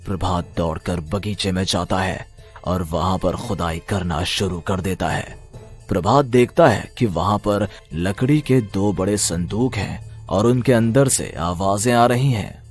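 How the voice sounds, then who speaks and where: Deep-voiced male horror story narrator. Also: unhurried, soft background music.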